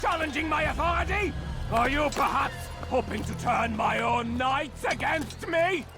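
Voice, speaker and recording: A ruthless knight commander, mocking and menacing, in a raised, high-pitched voice that swings from taunting to shouted orders. A loud musical score plays under the game's cutscene audio. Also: male voice, expressive, measured pace, over music. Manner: mocking, then fearful and quiet. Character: ruthless knight commander, then a dying man. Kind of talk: video game cutscene acting.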